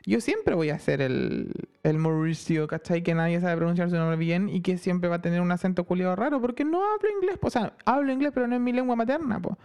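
Chilean male podcast co-host, casual slangy delivery, smooth clean tone. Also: sits high. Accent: Chilean Spanish. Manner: slangy, animated, halting restarts. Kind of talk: casual chat.

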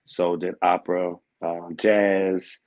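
A man in his thirties talks through his music life in a relaxed way, often saying "man" and laughing. His voice sits very low. He sounds like a guest on a casual podcast. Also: slow pace. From Aberdeen, Maryland. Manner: easygoing, laughs often, says "man" a lot. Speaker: guest, vocal coach and assistant pastor.

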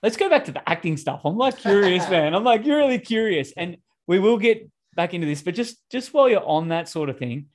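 A man's voice: high-pitched, animated, fairly quick, few pauses. A man hosting a podcast, chatting casually and rambling with lots of fillers, on a poorly recorded track. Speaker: podcast host. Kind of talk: casual interview chat. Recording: poor sound quality.

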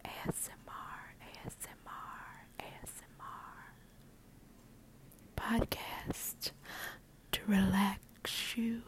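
A middle-aged woman hosting a podcast, talking in a soft, soothing ASMR whisper and repeating words as they fade away. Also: medium pitch, low-quality recording. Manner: soft unvoiced whisper throughout. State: nervous. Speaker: podcast host.

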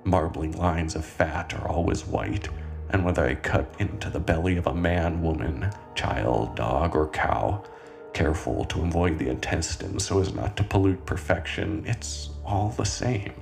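Man performing a horror monologue, deep creaky voice, self-justifying cannibal killer, deliberate dramatic pauses, brooding calm. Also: over music. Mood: coldly self-justifying.

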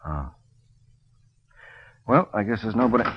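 An American man acting a wry, deadpan private detective in an old radio drama. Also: fairly low pitch, unhurried, noisy background. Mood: wry, cool. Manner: deadpan, wisecracking. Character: wry, hard-boiled male private detective, unflappable and flirtatious. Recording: dull vintage radio recording.

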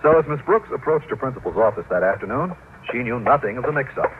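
A man's voice: mid-range voice, animated, fast, natural voice. A man narrating an old-time radio sitcom as its announcer, reading from a script. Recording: tinny old radio recording with noise.

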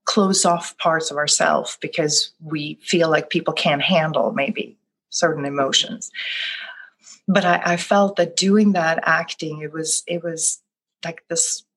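A Swedish-born woman whose first language is Swedish speaks in a low, unhurried register. She is a middle-aged life coach who tells her story thoughtfully in an interview, often circling back and restarting her phrases. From Sweden. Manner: soft-spoken, thoughtful, with frequent restarts. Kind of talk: reflective interview answer.

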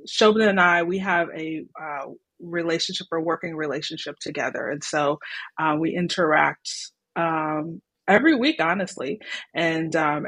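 Breathy woman hosting a podcast. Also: low-pitched, fairly bright tone, no room sound. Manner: hesitant, many fillers, friendly. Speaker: podcast host. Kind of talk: podcast interview.

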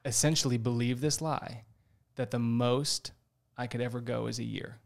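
A man's voice: medium pitch, steady tone, moderate pace, creaky. A man in his twenties, a podcast guest, earnestly telling a personal story in casual talk. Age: 20s.

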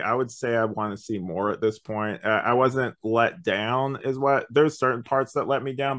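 A man's voice: medium pitch, a bit bright. A man hosting a podcast in casual review chat, rambling a little and hesitant as he feels out his thoughts. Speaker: podcast host.

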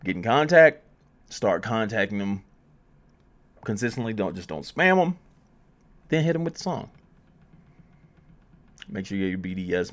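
A man's voice: sits low, creaky. A man presenting music promotion advice in a casual, conversational way, informally repeating phrases for emphasis.

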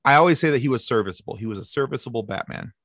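Man, thirties, casual podcast guest, sarcastic, animated, dry recording. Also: mid-range voice, rapid-fire, breathy edge, dry recording. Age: 30s. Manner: sarcastic, animated, with frequent restarts. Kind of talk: casual chat.

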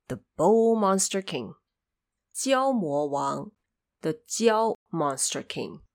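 A woman narrates a fantasy story in a slow, measured way. Her pitch moves expressively through each phrase, and the recording is close and dry. She delivers it as scripted storytelling with explanatory asides. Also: no echo. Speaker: narrator of an audio drama series.

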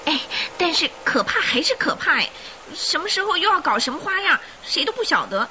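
A gossipy, excitable lady passenger for radio drama. The voice is high and very animated, with an intensely bright edge. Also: fairly quick, slight background noise, exaggerated voice. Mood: excitable gossip.

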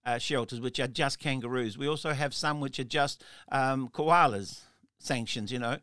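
A man's voice: mid-range voice, moderate pace, bright, forceful tone, no echo, studio quality. A man hosting a casual interview, asking rambling questions full of restarts and little laughs. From Australia. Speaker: interview host.

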